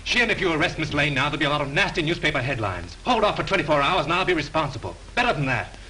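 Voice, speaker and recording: A man's voice: fairly high pitch, very animated, pushed and bright, poor audio. An actor playing a brash, sarcastic young man who turns amateur detective, in 1930s film style, with faint hiss from an old film soundtrack. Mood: wry. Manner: brash, quick-witted, sarcastic.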